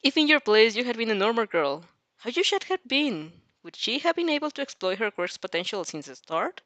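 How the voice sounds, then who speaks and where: A woman reads a story aloud in an informal, expressive way. Her intonation swings widely and she is prone to giggly asides. The recording is dry and close. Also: on the high side, a little fast, dry recording. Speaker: narrator reading fan fiction and reacting. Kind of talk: story narration with casual reactions.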